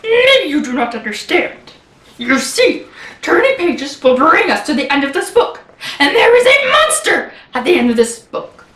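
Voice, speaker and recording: Storybook furry monster, played by a woman: frantic, melodramatic, very high and bright, swooping pitch. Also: big pitch swings, moderate pace. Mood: pleading. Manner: exclaiming, dramatic, melodramatic pleading. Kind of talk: animated children's picture-book character reading. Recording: echoey room, distant microphone.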